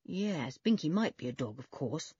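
A woman voicing a cheerful, sensible older schoolboy who leads the group in a children's storybook, brisk and hearty. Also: sits low, sing-song, dark tone, husky, no room sound, muffled recording, heavily acted. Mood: amused, decisive.

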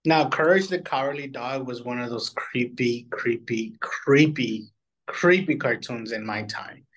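Mid-pitched man chatting casually as co-host. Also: unhurried, fairly bright tone, low-quality recording. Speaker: podcast co-host. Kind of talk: casual chat, giving reactions.

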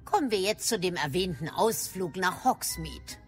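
An actress as a shrewd, middle-aged professor of magic in an audio drama. Her voice sits low and moves expressively, with a formal, probing manner and music playing behind her. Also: animated, normal speed, lightly acted. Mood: brisk, instructive. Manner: formal, crisp, mildly probing. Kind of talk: German audio drama acting.

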